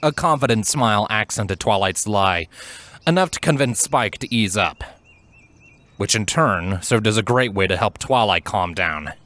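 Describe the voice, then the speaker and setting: A man with a fairly low voice reads as a storyteller. His delivery is measured and articulate. He narrates scripted prose with expression. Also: expressive, a bit bright. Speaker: fan fiction narrator and voice actor.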